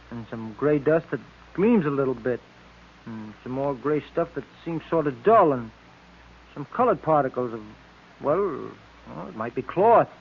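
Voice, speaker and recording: An American actor playing an excitable, puzzled police sidekick in an old radio serial, talking in a blunt, folksy way. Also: male voice, on the high side, breathy edge. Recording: noisy, tinny old radio recording.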